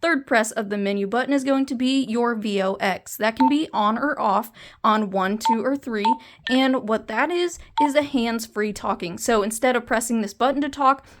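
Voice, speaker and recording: Woman, fairly high voice, product reviewer, hands-on demonstration, explanatory, step-by-step delivery. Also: normal speed, hardly any pauses.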